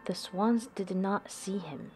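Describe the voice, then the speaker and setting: A woman reading a picture book aloud to young children, in a deliberate storytelling delivery. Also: medium pitch, gravelly, faint music behind. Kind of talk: read-aloud storybook narration.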